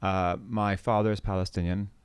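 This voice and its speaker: A man's voice: low-pitched, little pitch movement, moderate pace, smooth, no room sound. A middle-aged man from Canada, a podcast guest talking reflectively with frequent restarts and hesitations. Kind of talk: conversational interview.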